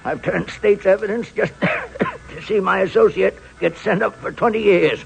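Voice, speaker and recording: A man's voice: high voice, normal speed, vocal fry. An actor playing a frail, boastful, wicked old man, rambling and gloating in a wheezy voice in a 1940s radio drama. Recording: old, faintly noisy radio recording.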